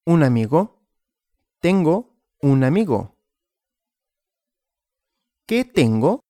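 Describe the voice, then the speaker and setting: Very slow, deliberate male Spanish teacher. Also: on the high side, big pitch swings, warm tone, no echo, studio quality. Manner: very slow, deliberate, repeating and asking himself questions. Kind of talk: slow graded language lesson with questions and answers.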